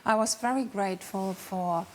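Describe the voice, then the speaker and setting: Woman, mid-range pitch, court show litigant, careful measured phrasing, formal answers. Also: a little hiss or hum.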